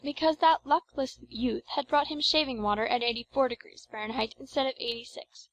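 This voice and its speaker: Higher-pitched woman narrating a classic novel. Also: brisk, a bit bright, low-quality recording. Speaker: audiobook narrator. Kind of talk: scripted literary narration.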